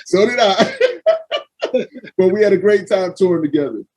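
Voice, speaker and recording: A man with a notably high voice hosts a casual interview, stacking up hype phrases and laughing freely. His delivery is loose and friendly over a video call. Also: moderate pace. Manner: energetic, repetitive hype phrases, laughs often. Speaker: podcast host.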